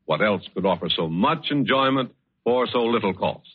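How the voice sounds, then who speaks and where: An actor playing a sociable, folksy wine dealer in a 1940s radio advertisement. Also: male voice, on the low side, expressive, normal speed, breathy edge. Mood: folksy, satisfied. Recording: muffled old 1940s radio recording.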